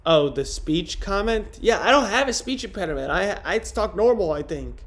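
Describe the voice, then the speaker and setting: Rambling young man with a high voice. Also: lively intonation, normal speed, fairly bright tone. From Rochester, New York. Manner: rambling, profane, answering chat comments aloud. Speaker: streamer. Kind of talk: casual live-stream chat.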